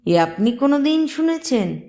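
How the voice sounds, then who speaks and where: A woman's voice: high voice, very animated, moderate pace, creaky, mellow, voice pushed up, heavily acted. A woman voicing a sly, sleepy jackal scholar who talks in a polite, mock-innocent drawl.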